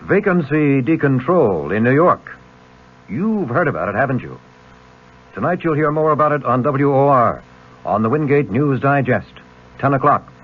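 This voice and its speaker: A man's voice: medium pitch, very animated, normal speed. A man giving a punchy, scripted news promo as a radio host, emphatic and addressing the listener directly. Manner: emphatic, conversational questions to the listener. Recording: narrow, tinny old radio broadcast.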